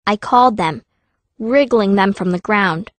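A woman's voice: medium pitch, unhurried, dry recording, close to an everyday voice. A woman playing a fierce, wry teenage girl mage, narrating her own story in an audiobook. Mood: wry storytelling. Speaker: first-person narrator.

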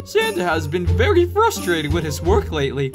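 Someone doing a chatty fed-up christmas elf telling a story, super swoopy voice, wry, music playing loud behind. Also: medium pitch, normal speed. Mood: animated storytelling. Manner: animated, wry, digressing into asides. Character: chatty, exasperated Christmas elf recounting a tale. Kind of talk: comic dub storytelling. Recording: music laid under voice.

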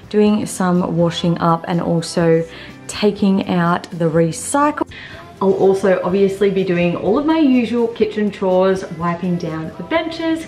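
A woman's voice: medium pitch, a little mellow, far from the mic. A woman, chatting cheerfully as a home cleaning vlogger in her kitchen. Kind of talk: casual vlog narration. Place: small home kitchen and laundry, indoors.

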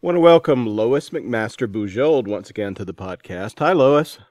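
A man's voice: medium pitch, animated, moderate pace, darkish tone, no echo, clean studio sound. A man hosting a podcast, reading scripted announcements and introductions in an informal, enthusiastic manner. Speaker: podcast host.

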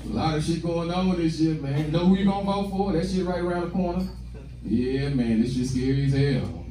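A man's voice: fairly high pitch, normal speed. A young American man performing stand-up comedy in a slangy, profane, conversational way, acting out bits of dialogue. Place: small comedy club stage, indoors. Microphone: handheld stage mic, recorded from a distant camera. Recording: echoey club room, distant mic, loud crowd noise. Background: audience laughter and room noise.

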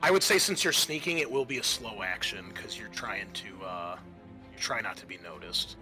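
A man's voice: lowish voice, animated, moderate pace, bright, forceful tone, soft background music. A man narrating a tabletop roleplaying game as game master, casual and thinking aloud as he goes.

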